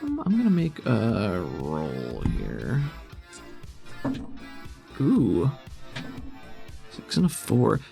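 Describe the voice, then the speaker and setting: A man's voice: on the low side, wildly swooping pitch, drawn-out words, vocal fry, long pauses, warm tone, soft background music. A man narrating a tabletop roleplaying game as game master, casual, playful and informal.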